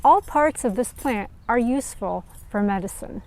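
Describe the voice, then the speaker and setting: A woman reading scripted educational narration and stories aloud for children, in a measured storyteller's manner. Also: fairly high pitch, animated.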